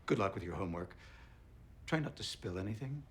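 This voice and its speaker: Patronising male doctor, dry, formal, fast delivery, weary air, faint room noise, period drama. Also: low voice, expressive. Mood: condescending. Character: condescending, weary male doctor, a senior boss. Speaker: actor in a TV detective series. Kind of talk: naturalistic TV period drama acting.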